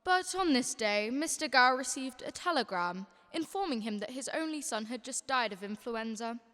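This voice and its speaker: Young woman as a high-voiced, bossy head angel. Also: lively intonation, moderate pace, a bit bright. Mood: instructive. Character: authoritative, exasperated superintendent of angels briefing a trainee. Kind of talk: stage-like radio drama acting. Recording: long hall-like reverb effect.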